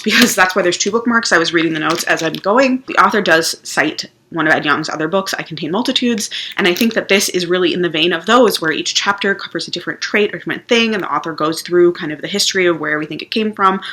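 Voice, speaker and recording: Woman book vlogger, chatty and casual, lowish pitch, bright and forceful tone. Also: quick pace, few pauses, a little hiss or hum. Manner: chatty, thinks aloud with restarts. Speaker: book reviewer. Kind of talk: casual vlog book review.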